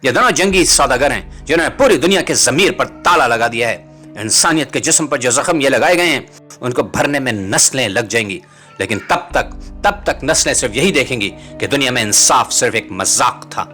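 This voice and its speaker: A man's voice: mid-range voice, animated, normal speed, bright, forceful tone. A man reading scripted political commentary as a host, in a rhetorical, bitingly sarcastic manner. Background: music.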